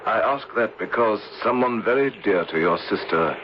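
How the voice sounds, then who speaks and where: Actor as low-voiced, courtly gentleman rescuer. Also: expressive, close to an everyday voice. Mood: courteous. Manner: formal, measured, courtly. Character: grave, gentlemanly British male rescuer and narrator. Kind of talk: old-time radio drama acting. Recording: dull, noisy old radio broadcast.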